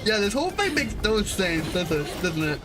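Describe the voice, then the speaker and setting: A man's voice: high voice, big pitch swings, moderate pace, over a noisy crowd. A man doing casual live gameplay commentary as a streamer, rambling and informal, with some swearing. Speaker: game streamer. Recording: stream with game music behind. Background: video game music.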